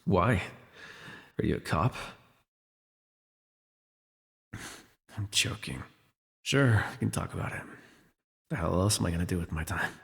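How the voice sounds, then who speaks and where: A jaded, imprisoned telepath villain , acted by a man, speaks in a low, gravelly voice that rings with long reverb. He is wry and sardonic, sighing wearily through an ASMR superhero audio drama. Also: normal speed, long pauses, a bit bright. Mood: dry, resigned. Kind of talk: ASMR audio roleplay acting.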